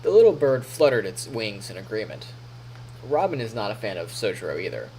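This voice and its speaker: A man's voice: lowish voice, sing-song, brisk, mellow, a little hiss or hum, rough recording. A man live streaming, reading story narration aloud in a playful, theatrical way with casual asides.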